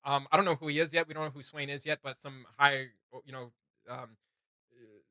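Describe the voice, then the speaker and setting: Guy co-hosting a reaction vid, really bright voice, dry close sound, animated and kinda rambly. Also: medium pitch, a little fast, no room sound. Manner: animated, searches for words aloud. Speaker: reaction video co-host. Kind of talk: casual rambling discussion to viewers.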